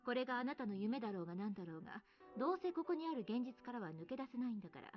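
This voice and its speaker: A woman's voice: on the high side, animated, racing, muffled recording, lightly acted. An actress playing a blunt, teasing, self-assured woman in a Japanese visual novel, with a dismissive manner. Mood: teasing, challenging. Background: game music.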